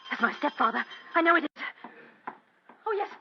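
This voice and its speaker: A woman's voice: high voice, lively intonation, normal speed, gravelly, faint music behind. An actress playing an anxious, well-bred young English woman, earnest and theatrical, in a radio drama. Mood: panicked. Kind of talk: vintage radio drama acting. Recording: dull, lo-fi radio recording.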